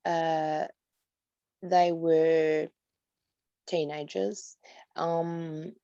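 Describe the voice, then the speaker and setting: A woman in her forties from New Zealand with a New Zealand accent, guest on a video call, slowly and hesitantly recalling her past. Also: sits low, no echo. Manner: soft-spoken, hesitant with many pauses. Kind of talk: slow personal recollection. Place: home room, indoors. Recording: dull lo-fi call audio.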